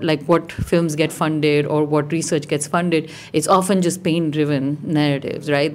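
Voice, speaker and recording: A woman's voice: low-pitched, slight background noise. A woman from India, speaking as a guest in a conversational interview, thoughtful and articulate with frequent "like" and "you know" asides. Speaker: guest, artist and organizer.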